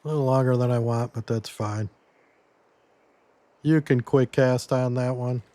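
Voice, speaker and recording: A man losing his voice a little, giving a relaxed step-by-step gameplay tutorial as commentary. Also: medium pitch, unhurried, no echo. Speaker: game guide creator.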